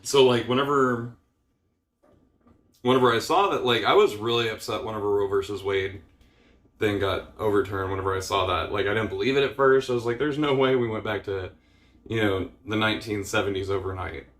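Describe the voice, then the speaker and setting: A man's voice: lowish voice, a little fast, a bit bright. Weary man rambling in an echoey room. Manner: rambling, many fillers, frustrated and weary; swears casually. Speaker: vlogger. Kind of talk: casual personal vlog rant.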